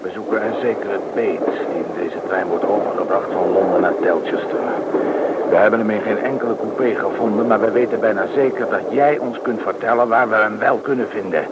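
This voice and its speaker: A man's voice: lowish voice, brisk, natural voice. An actor playing a plain-spoken man in a tense thriller radio play. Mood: menacing, insistent. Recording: muffled, very noisy old radio recording.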